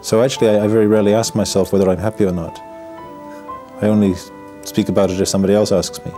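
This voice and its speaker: A man from the north of England, a Buddhist monk, speaking in a documentary interview in a reflective, halting way, often restarting his words and adding wry humor. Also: lowish voice, little pitch movement, dark tone. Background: background music.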